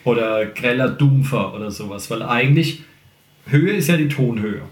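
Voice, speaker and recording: A man with a mid-pitched voice hosts a relaxed group podcast. He explains things colloquially, with a joking streak, like a singing teacher. The room is audibly echoey. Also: moderate pace. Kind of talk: casual podcast group chat. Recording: roomy, echoey room sound.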